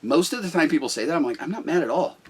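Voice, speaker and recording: A middle-aged man with a loud voice, a business owner talking bluntly and forcefully about management in casual talk. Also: on the high side, animated, quick pace, husky.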